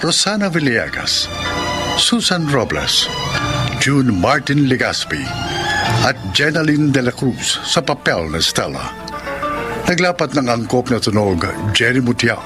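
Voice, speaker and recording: A man's voice: mid-range voice, very animated, measured pace. A man with a Filipino accent reading scripted radio drama narration in a solemn, declamatory announcer style. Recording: loud music bed under voice.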